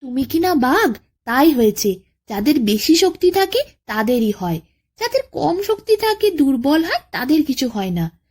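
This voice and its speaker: A woman's voice: sits high, big pitch swings, measured pace, a little mellow. A woman voicing a sly, mischievous jackal in a children's folk tale, wheedling and falsely friendly. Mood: coaxing. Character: sly, mischievous jackal nephew who tricks tiger.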